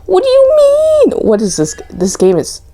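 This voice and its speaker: A woman's voice: extremely high pitch, wildly swooping pitch, measured pace, mellow. A woman giving casual, off-the-cuff commentary as she streams herself playing a video game.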